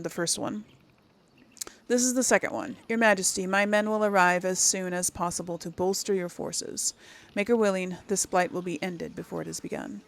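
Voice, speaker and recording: A woman's voice: lowish voice, vocal fry. A woman hosting a game series, chatting casually as she thinks aloud and reads aloud to her viewers. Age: 30s or older. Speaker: game streamer, role-play series host.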